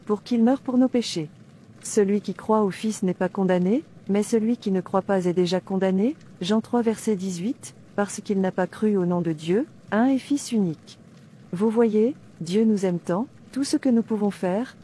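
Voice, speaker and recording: Woman as a devout princess, mellow voice. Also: medium pitch, slight background noise, lightly acted. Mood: earnest preaching. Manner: declamatory, earnest, citing scripture. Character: devout, defiant princess preaching her faith. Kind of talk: sermon-like dubbed cartoon dialogue.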